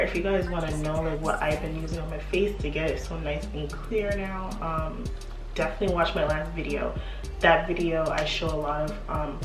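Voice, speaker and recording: A young woman with a congested voice from a cold, chatting casually as a beauty vlogger getting ready at home, with lots of fillers and laughs. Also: lowish voice. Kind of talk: casual get-ready-with-me chat. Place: home apartment room. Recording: echoey room, mic at a distance, music behind.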